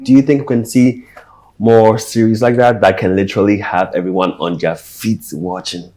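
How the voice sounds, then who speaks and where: A male talk show host with a very low voice. He asks his guest curious, measured questions in a calm interviewing manner.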